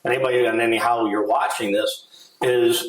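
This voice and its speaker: A middle-aged man talks in a loose, rambling way, filling his sentences with "you know" as he co-hosts a casual podcast chat. His voice sits at an ordinary pitch for a man. The room around him is echoey. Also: level tone, normal speed. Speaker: financial advisor, podcast co-host.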